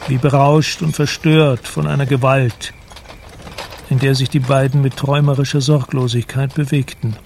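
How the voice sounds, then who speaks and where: A man narrating a literary radio play in a measured, solemn manner. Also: mid-range voice, flat delivery, darkish tone, slight background noise.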